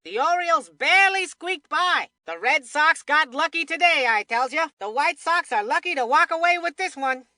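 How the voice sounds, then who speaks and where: A cartoon baseball commentator with a very high, piercingly bright voice. The delivery is folksy and emphatic, full of excitable homespun colour. It is scripted video game sports commentary, recorded very dry and close. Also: normal speed, hardly any pauses, no echo, exaggerated voice. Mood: folksy relief.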